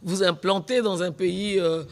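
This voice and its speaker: A man's voice: sits high, fairly even intonation, unhurried. A man with a Congolese French accent hosting a conversational political interview, often cutting in with agreement. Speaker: talk show host.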